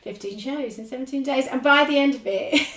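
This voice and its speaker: A woman in her forties with a squeaky speaking voice, chatting as a podcast guest and rambling with lots of laughter. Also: brisk, reverberant, far from the mic. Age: 40s. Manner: rambling, animated, laughs often. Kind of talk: casual chat.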